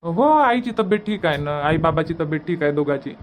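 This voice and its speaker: A woman's voice: low-pitched, lively intonation, brisk, slight background noise. A woman voicing an agreeable village man in a cartoon family comedy, plain-spoken and conversational, with a Varhadi Marathi accent. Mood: agreeable, chatty.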